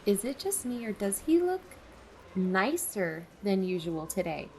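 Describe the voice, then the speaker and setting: A woman's voice: mid-range voice, sing-song, a little slow, mellow, a little hiss or hum. Woman acting as candid young woman, mid-range voice, wry, conversational, guarded, audio drama storyteller. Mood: wry, curious. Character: wary, candid, teasing young woman narrating undercover visit. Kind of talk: first-person audio drama narration and dialogue.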